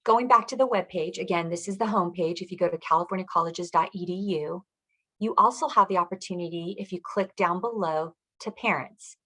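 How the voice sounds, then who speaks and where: A woman's voice: fairly low pitch, a little fast, a bit bright, rough recording. A woman presenting as a school district director, walking listeners through web resources in an explanatory, instructional way.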